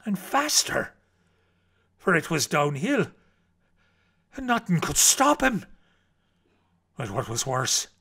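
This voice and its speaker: A male reader plays an eccentric Arctic explorer uncle telling his adventures from a storybook. The voice is gravelly and forceful, and unhurried. He relishes words theatrically, swinging between hushed and urgent. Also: fairly high pitch, exaggerated voice. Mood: urgent, excited. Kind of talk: dramatic storybook reading.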